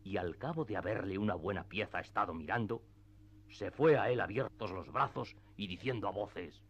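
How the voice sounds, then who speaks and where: A man's voice: on the low side, normal speed, husky, highs cut off. A man narrating a classic literary tale as the scripted narrator of a radio theatre piece.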